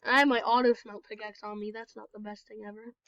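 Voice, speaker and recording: A woman's voice: mid-range voice, little pitch movement, fast talker, fairly bright tone, no room sound. A woman with a cold, nasal and congested, rambling casually as she comments on her own gameplay for a video. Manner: chatty, rambling, apologetic. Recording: dull, lo-fi, dry recording.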